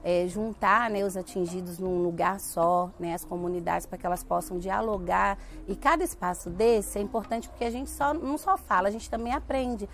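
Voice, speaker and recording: A woman's voice: medium pitch, moderate pace, few pauses, faint music behind. A Brazilian woman speaking as a community member in an interview. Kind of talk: interview testimony.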